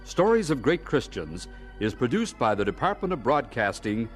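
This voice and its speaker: A man's voice: mid-range voice, sing-song, moderate pace, low-quality recording. A man reading scripted radio narration as an announcer. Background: organ music.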